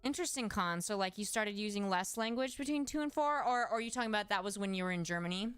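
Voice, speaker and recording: A woman's voice: mid-range voice, lively intonation, fast, fairly bright tone, clear, resonant tone. A young adult woman, a speech-language pathologist, chatting casually on a livestream and answering viewers, with lots of "like" fillers and restarts. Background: background music.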